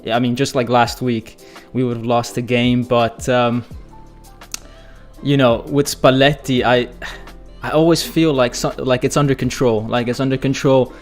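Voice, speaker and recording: A man hosting a live football fan stream, chatty and excitable, hoarse and losing his voice as he reads viewer comments. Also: medium pitch, normal speed, faint music behind. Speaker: football channel host.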